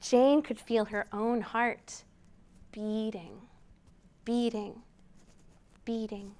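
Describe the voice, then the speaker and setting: Female storytime presenter for young children: high-pitched, smooth tone, expressive delivery with simple prompts. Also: extremely slow. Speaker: children's storytime host at a library. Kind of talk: storytime read-aloud and chat to young children.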